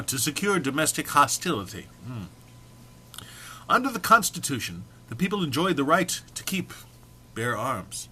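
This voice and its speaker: A man's voice: on the high side, pushed and bright, a little hiss or hum. A man giving an amateur, theatrical dramatic reading of a humorous text, prone to amusement and stumbles. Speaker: amateur reader.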